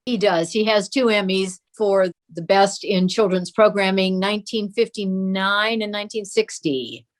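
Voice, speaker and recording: Older-ish woman guest chatting and reminiscing, average pitch, storytelling style. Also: unhurried. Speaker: interview guest.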